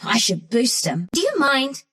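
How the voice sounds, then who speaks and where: Mischievous small furry game critter, highish bouncy voice, cocky slangy sharpshooter. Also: female voice, big pitch swings, measured pace, bright, forceful tone, exaggerated voice. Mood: cocky taunting. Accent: Australian. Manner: cheeky, breezy, slangy. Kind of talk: video game character voice lines.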